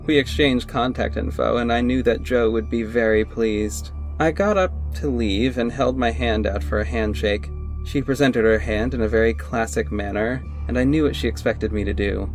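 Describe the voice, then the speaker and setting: A man's voice: mid-range voice, normal speed, natural voice. Man playing a young detective, wry, casual, first-person narrator, audio drama, music underneath.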